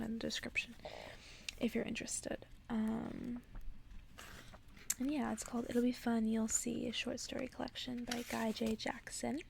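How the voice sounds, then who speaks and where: A woman ASMR host whispering softly and close to the microphone in a breathy voice, as if reading bedtime stories aloud. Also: fairly high pitch, slight background noise. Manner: whispering, soft-spoken.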